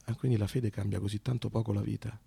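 A man performing a conversational autobiographical monologue, halting and repeating himself with dramatic pauses. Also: on the low side, level tone, creaky, mellow, breathy edge, dry recording. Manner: halting, broken phrases with dramatic pauses and repetitions. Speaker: actor and narrator of a radio monologue. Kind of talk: theatrical autobiographical storytelling, conversational.

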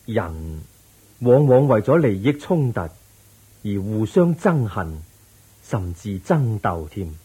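An actor playing the Buddha as a calm, patient teacher in a radio drama, measured and instructive, with a Hong Kong Cantonese accent. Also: male voice, fairly low pitch, husky, exaggerated voice. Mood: solemn teaching.

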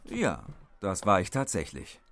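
An actor playing a cold-blooded, smug villain in a German radio drama, mockingly polite and menacing. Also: male voice, deep voice, wildly swooping pitch, a little slow, gravelly, fairly bright tone, slight background noise, exaggerated voice. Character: smug, cold-blooded male villain holding men at gunpoint.

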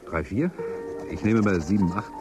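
An actor playing a calm, protective, disillusioned man in a dystopian radio drama, speaking plainly and informally. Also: lowish voice, moderate pace, highs cut off, music in the background, lightly acted. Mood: casual. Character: calm, protective male dissident, disillusioned former official. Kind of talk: German radio drama acting.

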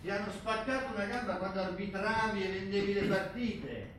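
A man's voice: high voice, a little fast, a bit bright. A male stage actor playing a bitter, defiant rugby coach, confrontational and accusing in a theatrical drama. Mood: hostile, scornful. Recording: very echoey distant stage recording, faint noise.

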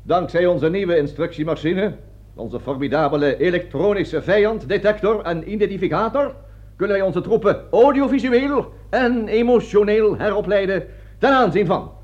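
An actor playing a bossy, pompous army colonel who lectures his troops in a satirical radio play. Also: high-pitched. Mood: pompous, emphatic. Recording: dull lo-fi old radio recording.

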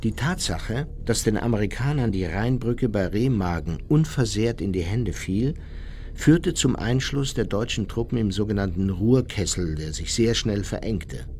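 An actor playing an unrepentant Nazi war criminal who recounts his life in a measured, matter-of-fact radio play memoir. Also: fairly low pitch, animated, darkish tone, slight background noise. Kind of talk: radio play first-person memoir narration.

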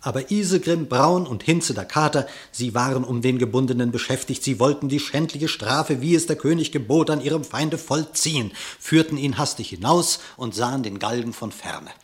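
A man narrating a literary radio play in verse, with measured, dramatic storytelling. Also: mid-range voice.

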